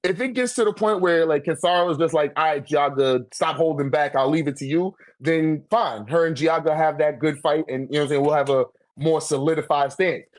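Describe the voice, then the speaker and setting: A man's voice: a little high, fairly quick, few pauses, dry recording. Slangy man in a dry, close recording. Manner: slangy, profane, argumentative. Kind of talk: casual debate about a manga tier list.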